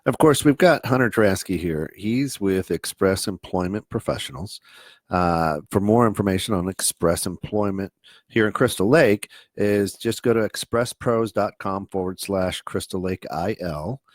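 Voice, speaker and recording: A man's voice: low-pitched, moderate pace, dry recording, studio quality. A man with a Midwestern American accent co-hosting a business podcast, talking in a loose, chatty way with many restarts. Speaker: podcast co-host. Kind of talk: casual business chat. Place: studio.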